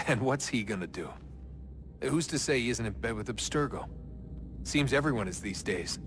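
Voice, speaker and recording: A young American man, played by an actor, blunt and weary of being used, the reluctant hero of a video game. His voice is an everyday mid-range one, with music playing clearly behind it. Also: mid-range voice, lively intonation, normal speed, close to an everyday voice. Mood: thoughtful. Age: young adult. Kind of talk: naturalistic video game acting.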